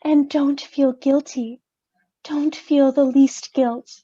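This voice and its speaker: Slow, high, insinuating mourner played by a woman. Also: fairly even intonation, warm tone, dull audio. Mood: pointed condolence. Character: insinuating, falsely sympathetic female mourner named Helen. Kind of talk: stage radio-play acting.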